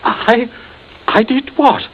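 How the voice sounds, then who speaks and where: An actor playing a nervous, cornered gentleman in a 1940s radio mystery, stammering, hesitant and pleading. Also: very high-pitched, steady tone, slow, exaggerated voice. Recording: dull, noisy vintage 1940s radio recording.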